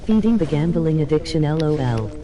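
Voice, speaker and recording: Female text-to-speech, very low pitch, reads brief chat lines, prominent music underneath. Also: lively intonation, a little fast, warm tone, rough recording. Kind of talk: synthetic read-aloud of short chat messages.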